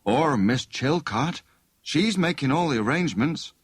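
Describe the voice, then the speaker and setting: A man's voice: fairly high pitch, expressive, unhurried, breathy edge, no echo, clean studio sound, voice pushed up. A man voicing a doubtful, grieving elderly housekeeper in an audiobook, speaking hesitantly.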